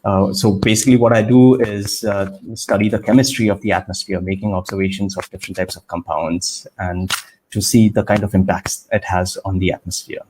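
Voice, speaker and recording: A man from India, in his thirties, answering interview questions on a video call in a measured, explanatory way with frequent fillers. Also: low voice, fairly even intonation, darkish tone, a little hiss or hum, rough recording. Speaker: guest scientist.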